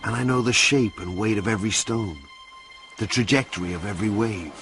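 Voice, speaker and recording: Intense hacker narrator, lowish guy voice, poetic, loud music behind. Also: a little fast. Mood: tense. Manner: lyrical, first-person narration. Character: intense male hacker narrating his own cyberspace ride. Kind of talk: dramatic scripted narration.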